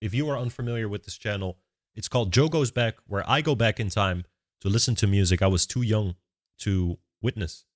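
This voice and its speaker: Young man, deep voice, dry close sound, casual reaction chat, thinks aloud. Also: brisk, no room sound. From Netherlands. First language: Dutch. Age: 20s. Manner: thinks aloud, restarts words mid-sentence. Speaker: music reaction channel host.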